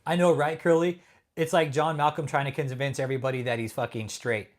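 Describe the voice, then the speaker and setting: A man's voice: mid-range voice, level tone, fairly quick. A man from California hosting a live stream, reading chat and taunting a troll with heavy swearing and laughter. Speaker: livestream host. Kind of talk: casual livestream chat.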